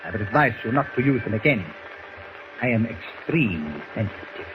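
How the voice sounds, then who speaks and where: Evil german doctor from a 40s radio show, super polite but threatening, hissy dull recording. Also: male voice, on the low side, sing-song, fairly quick. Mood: smug threat. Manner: coldly formal, threatening politeness. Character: menacing foreign doctor villain, coldly polite captor. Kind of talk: 1940s radio drama acting. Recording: muffled, noisy old 1940s radio recording.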